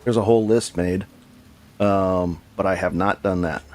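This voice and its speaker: A man's voice: deep voice, fairly even intonation, moderate pace, darkish tone, soft background music. A man chatting casually with viewers while he plays a game live, rambling and correcting himself as he goes. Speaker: game streamer. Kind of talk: casual live gameplay commentary.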